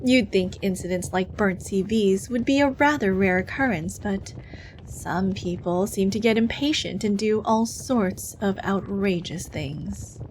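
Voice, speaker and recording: A woman playing a brisk, bureaucratic female demon interviewing souls in hell, officious and dryly condescending, in a scripted ASMR roleplay. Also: mid-range voice, a little mellow, slight background noise, natural voice, rough recording. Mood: businesslike, approving.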